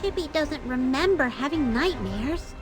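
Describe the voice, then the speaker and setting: A tiny, perky critter sidekick from a fantasy game. The voice is extremely high and cute, set against prominent music. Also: female voice, expressive, measured pace. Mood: curious.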